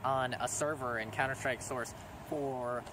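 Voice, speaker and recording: A teenage boy talks at an ordinary pitch in a loose, rambling way, stopping often with ums as he thanks his viewers. Clear background noise sits under his voice throughout this older recording. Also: fairly even intonation, unhurried. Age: teens. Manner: rambling, hesitant, many ums. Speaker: small YouTuber thanking subscribers. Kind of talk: casual vlog to camera.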